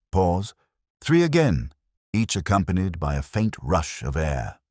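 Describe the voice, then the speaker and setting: A man narrating a scripted mystery story in a measured, dramatic manner. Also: deep voice, dry recording, clean studio sound. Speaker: audiobook narrator.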